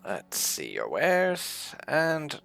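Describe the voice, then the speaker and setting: A woman's voice: sits low, huge pitch swings, very slow, vocal fry, a bit bright, faint music behind. A woman doing roleplay game commentary, musing and hesitant, with thoughtful hums as she reads dialogue choices aloud. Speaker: gaming video host and player.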